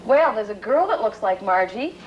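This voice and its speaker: A woman's voice: mid-range voice, animated, moderate pace, a little mellow. A woman talking informally and coaxingly, as if to a child or an animal, in short patient phrases. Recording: muffled lo-fi field audio with noise.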